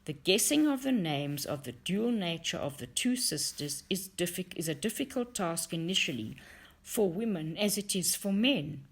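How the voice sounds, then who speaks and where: A woman reading a book aloud in an audiobook style, with measured, deliberate phrasing. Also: lowish voice, expressive, breathy edge. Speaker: reader for a book study group.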